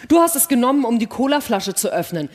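A woman's voice: a little high, big pitch swings, fast. A woman acting a suspicious, accusing, proud female space scientist in a dramatic radio play, speaking with emphatic insistence. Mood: insistent, accusing. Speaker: actor in a radio play reading. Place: large hall, live staged reading. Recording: reverberant stage hall sound.

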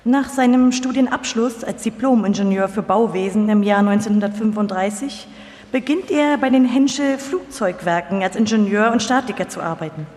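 A woman's voice: medium pitch, normal speed, darkish tone. A woman delivering scripted documentary narration in a live radio feature. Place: live stage performance in a hall. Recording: echoey hall, long reverb.

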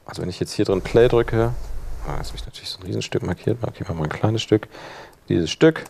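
Male workshop presenter, lowish creaky voice, informal live software demo delivery, thinking aloud. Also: quick pace, slight background noise. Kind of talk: live hands-on software tutorial.

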